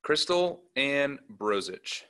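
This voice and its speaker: A man formally reading out graduate names as a commencement announcer, in a measured, clearly enunciated way. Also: mid-range voice, very animated, bright, forceful tone.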